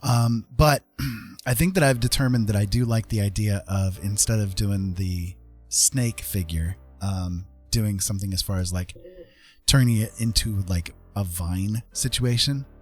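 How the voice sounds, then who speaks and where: A man livestreaming in a casual, rambling and gentle way. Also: fairly low pitch, measured pace. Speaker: live streamer and artist. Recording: music playing behind the voice. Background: music.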